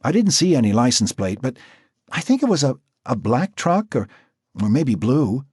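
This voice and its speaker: A man voicing a frightened teenage runaway girl who stammers and sobs in a high, trembling voice. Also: sing-song, normal speed, darkish tone, slightly rough, no room sound, clean studio sound. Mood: tearful.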